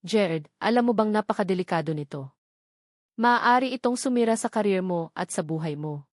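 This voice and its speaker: A woman's voice: medium pitch, lively intonation, normal speed, no room sound, clean studio sound, natural voice. Woman reading as a concerned lawyer friend. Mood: alarmed.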